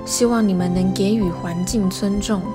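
A woman reading a calm, earnest scripted voice-over for a travel video. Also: mid-range voice, moderate pace. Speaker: narrator. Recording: voice-over over loud background music.

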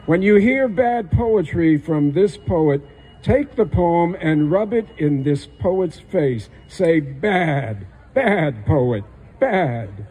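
A man's voice: a little high, dark tone, breathy edge. An older man reading a humorous poem in a dry, wry delivery on a small outdoor stage, using a stage microphone on a stand. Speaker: poet. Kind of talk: poetry reading. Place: small outdoor stage under trees at a festival.

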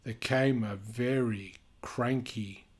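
Slow, husky man reading a picture book. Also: medium pitch, fairly even intonation, a little mellow. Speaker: children's book reader. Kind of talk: picture book read-aloud with chatty asides.

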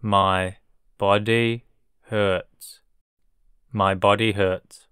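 A man's voice: on the low side, flat delivery, extremely slow, fairly bright tone, clear, resonant tone, no echo, studio quality. A man teaching English words for illness, saying each word three times and then each sentence word by word. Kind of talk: slow drilled vocabulary reading.

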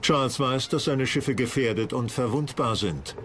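A man's voice: mid-range voice, normal speed, fairly bright tone. Male documentary narrator: scripted history read, mid-range, light music underneath.

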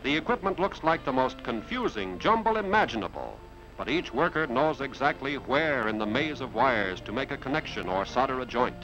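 A man's voice: medium pitch, big pitch swings, moderate pace. An American man reading a scripted 1950s industrial film narration in an explanatory announcer's delivery. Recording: muffled 1950s film soundtrack with music. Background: background music.

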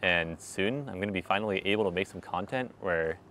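A young man in his twenties talking straight to the camera in a wry, animated vlog, recorded outdoors on a city street at night with a clip-on mic. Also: deep voice, fairly quick, a little hiss or hum. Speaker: vlogger. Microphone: small clip-on mic on his shirt.

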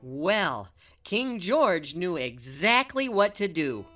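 A genial storybook narrator with a folksy, gentle manner. He reads a children's cartoon tale with clear music underneath. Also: high voice, very animated, slow, heavily acted.

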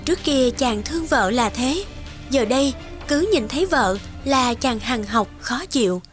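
A woman reading scripted narration for an animated folktale as the storyteller. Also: sits high, unhurried. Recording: music behind the voice.

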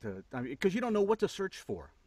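A man's voice: sits high, wildly swooping pitch, fast, a little mellow, no room sound. A middle-aged man hosting a podcast interview, asking questions in a jokey, friendly way. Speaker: podcast host. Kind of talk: casual interview chat. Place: home studio with foam panels. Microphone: studio condenser mic. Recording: dry studio sound.

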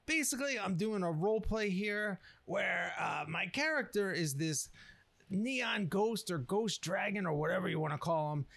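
A man's voice: high voice, a bit bright, no room sound. A man speaking as a video creator, casually introducing his series to viewers.

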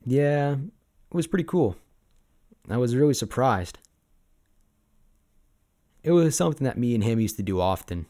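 A man playing an easygoing, sentimental sabertooth boyfriend in an ASMR roleplay, reminiscing in a casual, gently hesitant way. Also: medium pitch, lively intonation, unhurried, long pauses, close to an everyday voice. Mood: fond, nostalgic.

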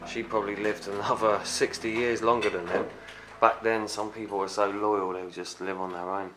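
A man exploring an abandoned house, talking casually and excitedly. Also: on the low side, moderate pace, poor audio. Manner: excited, exclamatory. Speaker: urban explorer. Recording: music underneath. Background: background music.

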